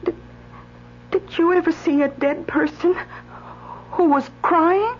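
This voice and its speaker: An actress playing a gentle, earnest woman in a radio drama, speaking hesitantly and repeating her words. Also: very high-pitched, slow pace. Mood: soothing, hesitant. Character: gentle, earnest woman keeping watch over a dead man. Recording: muffled, noisy old radio broadcast.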